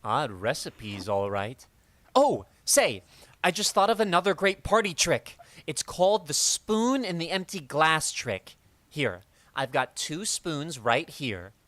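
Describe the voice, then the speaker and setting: An eager, hapless teenage boy played by a man in an old-time radio comedy. His pitch leaps around as he gabs and shows off with earnest excitement, recorded close and dry. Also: slow pace, dry recording, exaggerated voice. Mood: excitable, sheepish. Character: eager, hapless teenage boy schoolmate, showing off party tricks. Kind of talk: 1940s radio comedy acting.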